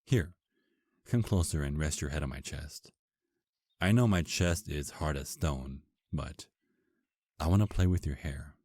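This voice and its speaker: A man's voice: rumbling low, unhurried, pauses often, a little mellow, no room sound. A man playing an affectionate, teasing vampire husband in an intimate ASMR romance roleplay, murmuring tenderly and flirtatiously. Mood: tender, cozy.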